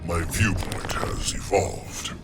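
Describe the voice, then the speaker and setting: A man playing an ancient, solemn swamp plant monster in a video game, ominous and measured. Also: low voice, over loud music.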